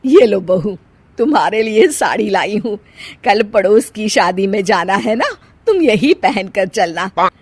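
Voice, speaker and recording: A woman's voice: medium pitch, lively intonation, measured pace, darkish tone, breathy edge, no echo. A woman voicing a stern, partial middle-aged mother-in-law in a family story. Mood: doting.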